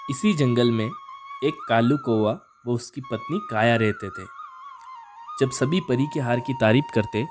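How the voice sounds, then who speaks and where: A male storyteller with a mid-range voice narrates a children's cartoon fable. He reads from a script, with background music under him. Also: medium pitch.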